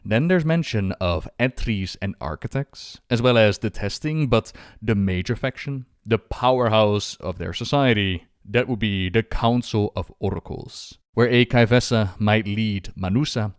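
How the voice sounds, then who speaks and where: A man's voice: fairly low pitch, unhurried, few pauses, no echo, clean studio sound. A man hosting and narrating video game lore as scripted storytelling, with dramatic phrasing.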